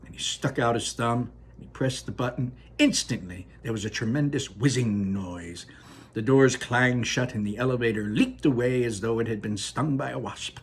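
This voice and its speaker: A man reading a children's book aloud in a lively, expressive way, adding asides of his own. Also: mid-range voice, normal speed, slightly rough. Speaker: reader.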